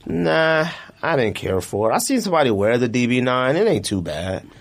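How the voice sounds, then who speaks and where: A man's voice: medium pitch, big pitch swings, moderate pace, creaky. A man co-hosting a sneaker podcast, chatting casually and giving opinions in informal slang.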